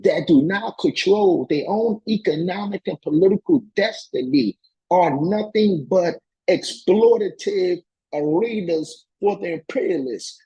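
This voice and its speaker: Raspy, high-pitched man lecturing emphatically. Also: dark tone, lo-fi sound. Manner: emphatic, repeats phrases for emphasis, colloquial. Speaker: political education lecturer. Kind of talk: impassioned political lecture, reading and commenting on a text.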